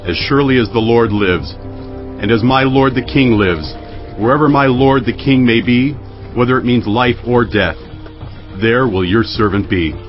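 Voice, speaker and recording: A man's voice: mid-range voice, normal speed, close to an everyday voice. A man playing a fiercely loyal foreign soldier in an audio Bible drama, resolute and oratorical. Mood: resolute, devoted. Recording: dull lo-fi audio with background music.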